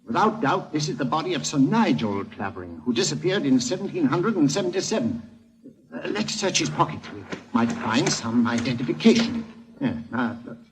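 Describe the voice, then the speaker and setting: An actor playing an eager, excitable man who loves antiquities, with hesitant theatrical interjections, in a 1940s radio drama on a vintage recording. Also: medium pitch, brisk, close to an everyday voice, rough recording. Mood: excited, certain.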